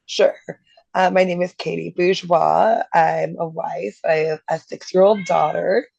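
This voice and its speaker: A woman in her thirties, hoarse while getting over an illness, chatting easily as a guest getting a tarot reading. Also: fairly low pitch, fairly even intonation, measured pace, a little mellow, no echo. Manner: chatty, easygoing, laughs readily. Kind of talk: casual chat. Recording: dull lo-fi dry sound.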